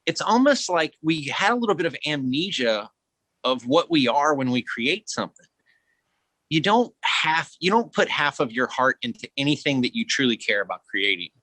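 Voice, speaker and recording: A man speaking as a guest actor in a reflective podcast conversation, earnest and expressive. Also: on the high side, moderate pace, no echo.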